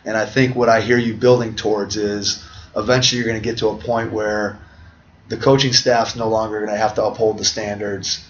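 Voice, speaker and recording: A man's voice: on the low side, level tone, brisk, slight background noise. A middle-aged man hosting a podcast interview, talking reflectively in long, winding sentences. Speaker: podcast host. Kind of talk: conversational interview. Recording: dull lo-fi audio.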